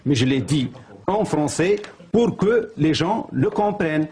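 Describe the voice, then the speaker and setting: A man whose first language is Arabic, speaking as a guest in a televised debate, halting, with many pauses and restarts. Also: on the high side, expressive, darkish tone.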